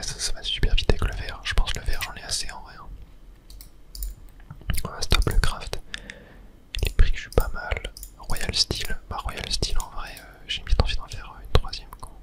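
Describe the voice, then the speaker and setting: Gaming ASMR host, fully whispered delivery, casual and hesitant, musing aloud while playing. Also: extremely high pitch. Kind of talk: whispered ASMR game commentary.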